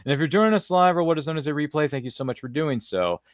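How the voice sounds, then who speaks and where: Quick, chatty male podcast host, dry sound. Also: a little high, expressive, no room sound. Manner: chatty, jokey, effusive. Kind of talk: casual live interview chat.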